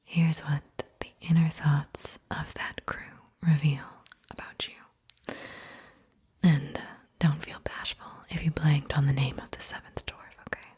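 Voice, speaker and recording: A woman whispering in a breathy voice as she hosts an ASMR guided visualization, speaking straight to the listener and softly checking in with questions. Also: low voice, level tone, measured pace. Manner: gentle direct address with soft check-in questions. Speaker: ASMR host guiding listeners.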